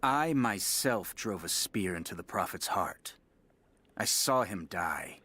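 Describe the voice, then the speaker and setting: An actor playing a solemn, loyal warrior in a video game, addressing his lord in a formal, measured, declamatory way. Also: sits low, animated, pushed and bright, husky, full character voice. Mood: solemn, insistent. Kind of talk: video game voice acting, dramatic monologue.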